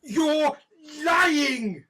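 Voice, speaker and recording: An actress in an audio drama playing a desperate, pleading British police detective, husky and halting with emotion. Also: female voice, sits high, animated, heavy background noise, heavily acted. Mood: distraught, angry.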